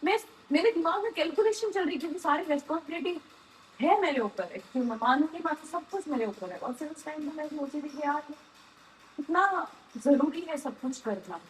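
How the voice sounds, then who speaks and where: Female vlogger, high voice, earnest candid chat over noticeable background noise. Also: animated, brisk. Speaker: daily vlogger. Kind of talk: casual candid conversation. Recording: clearly noisy ambient background.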